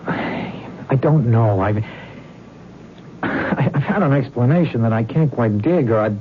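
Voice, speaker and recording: A man's voice: mid-range voice, lively intonation, takes it slowly. An actor playing an earnest, polite young man who stammers hesitantly, in old-time radio drama style. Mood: uneasy. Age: young adult. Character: earnest, flustered young fiancé investigating strange deaths. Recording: narrow, noisy old radio recording.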